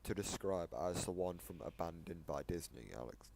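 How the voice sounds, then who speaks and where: A man's voice: low-pitched, expressive, normal speed. A man giving casual, explanatory commentary in a reaction video, often hedging as he interprets things. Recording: noisy home mic with clicks.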